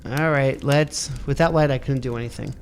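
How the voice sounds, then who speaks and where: A man with a gravelly, fry-heavy voice plays through a spooky video game, reading its text aloud and grumbling at the controls. He curses now and then at what happens on screen. A faint hiss sits under his voice. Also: medium pitch, normal speed. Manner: muttering, swears at the game, reads on-screen text in a flat voice. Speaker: let's play gamer. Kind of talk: casual let's play commentary, reading game text aloud.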